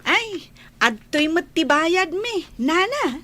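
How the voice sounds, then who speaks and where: A woman's voice: very high-pitched, very animated, sharp tone, a little hiss or hum, exaggerated voice. A woman playing a cheeky young housemaid in an audio drama, teasing and talking back with an Ilocano Filipino accent. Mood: friendly, respectful. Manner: playful, drawn-out, talking back.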